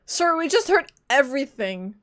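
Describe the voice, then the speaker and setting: A woman's voice: sits high, sing-song, moderate pace, fairly bright tone. A woman streaming a game, giving casual, exclamatory reactions to the story. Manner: reactive, blurts short exclamations. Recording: game music behind.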